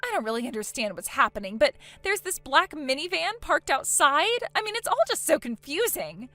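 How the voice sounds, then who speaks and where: A woman's voice: high-pitched, sing-song, normal speed, bright, forceful tone, dry recording, exaggerated voice. A woman voicing a spoiled, vain woman in her late twenties in acted story dialogue, dramatic, whiny and indignant. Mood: confused. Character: spoiled, vain, panicky 29-year-old woman.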